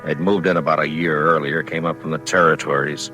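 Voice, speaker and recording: An actor playing a steady, laconic US Marshal in an old-time radio western, calm and plainspoken with an American accent. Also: male voice, a bass voice, brisk. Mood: somber, reflective. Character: steady, laconic middle-aged male frontier US Marshal. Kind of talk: old-time radio western acting and first-person narration. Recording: vintage radio recording, music behind.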